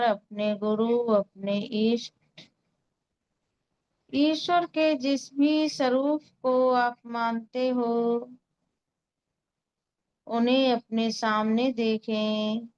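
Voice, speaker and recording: A woman leading a guided meditation in a calm, soothing way, giving unhurried instructions with long pauses. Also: a little high, clear, resonant tone, highs cut off. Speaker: meditation guide and Reiki healer.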